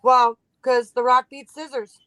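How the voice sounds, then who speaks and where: A man's voice: very high-pitched, flat delivery, a little slow, sharp tone, clean tone. A man on a casual radio chat show, setting up and delivering a joke.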